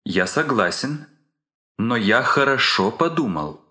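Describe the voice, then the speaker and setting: A man's voice: mid-range voice, sing-song, slow, pushed and bright, reverberant, heavily acted. A man playing an earnest young man set on marrying, in a scripted language-course dialogue. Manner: firm, earnest.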